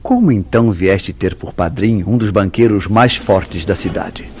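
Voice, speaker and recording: A man's voice: deep voice, moderate pace, darkish tone, husky. A Brazilian man acting a jealous, suspicious newlywed husband in a radio soap opera, speaking in a formal, theatrical way. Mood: accusing, suspicious. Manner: formal, theatrical, interrogating his wife. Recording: radio drama with music behind.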